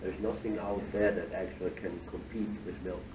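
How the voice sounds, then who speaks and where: A deep-voiced man leads a factory tour and explains things with plenty of "uh"s and slightly foreign phrasing. He is picked up from a distance in an echoey factory hall, and steady machinery noise runs under his voice. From Switzerland. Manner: unhurried, many fillers, slightly foreign phrasing. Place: cheese factory floor.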